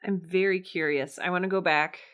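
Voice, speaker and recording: Slightly low-voiced woman hosting an interview. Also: steady tone, brisk, a bit bright. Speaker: podcast host and interviewer. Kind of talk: casual conversational interviewing.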